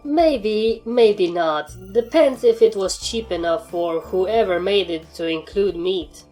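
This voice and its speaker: Woman voicing a dry deadpan alien pony, music behind. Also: medium pitch, takes it slowly, lightly acted. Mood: dry, resigned. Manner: dry, deadpan, matter-of-fact. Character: deadpan alien turned pony, dry, world-weary survivor. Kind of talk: fan fiction audio reading.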